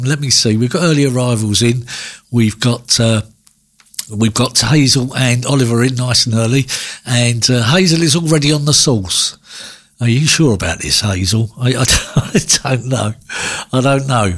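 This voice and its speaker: A man hosting a music radio show, chatting casually between records with lots of fillers and restarts. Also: mid-range voice. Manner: rambling, many fillers and restarts.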